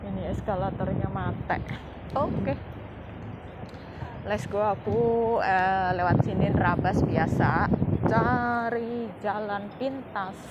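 Woman vlogger, relaxed and slow, phone mic, busy street noise behind. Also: medium pitch, lo-fi sound. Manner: chatty, relaxed commentary while walking. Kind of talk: casual walking vlog. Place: outdoor streets and indoor shopping mall. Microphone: handheld phone camera. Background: street and mall ambience.

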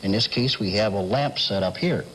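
A man's voice: lowish voice, normal speed, fairly bright tone. A middle-aged American man explaining as an interview guest in a television studio, on slightly noisy vintage TV sound. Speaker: telephone company specialist, guest. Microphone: lapel mic.

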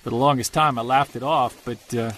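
An actor playing a weary middle-aged Bigfoot investigator, narrating an audio diary in an earnest, confessional way. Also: male voice, medium pitch, fairly even intonation, brisk, lightly acted. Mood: troubled. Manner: confessional, earnest, quietly troubled. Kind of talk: scripted audio drama narration.

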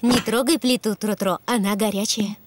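A woman playing a gentle, caring mother donkey in a preschool cartoon, encouraging and softly cautioning. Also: medium pitch, steady tone, moderate pace, over loud music, close to an everyday voice. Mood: gently cautioning.

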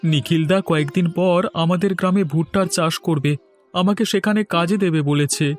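Meek young farmer played by a man, high voice, faint music under. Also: moderate pace, natural voice. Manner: hesitant, eager. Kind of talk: cartoon voice acting.

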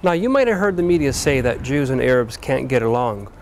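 A man's voice: medium pitch, a little fast, a little hiss or hum. A man in his thirties hosting a show, chatting energetically and interviewing a guest inside a church hall on a lapel mic. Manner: friendly, energetic, chatty with laughs. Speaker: TV host interviewer. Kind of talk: casual on-location interview.

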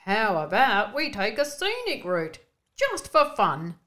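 Big friendly male whale cabbie played by a woman, storybook, hearty, unhurried, animated melody. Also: mid-range voice, exaggerated voice. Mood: gentle. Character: big, kindly male humpback whale taxi driver.

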